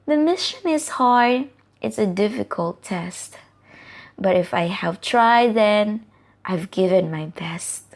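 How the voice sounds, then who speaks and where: A woman voicing a plucky, determined young boy space adventurer from a storybook, speaking in an earnest, deliberate way. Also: medium pitch, lively intonation.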